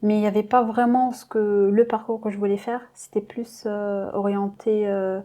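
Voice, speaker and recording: A young woman from Lebanon, a doctoral student guest, speaks in a mellow voice. She answers hesitantly with frequent fillers and a modest manner. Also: medium pitch, little pitch movement, normal speed. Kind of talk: interview answers.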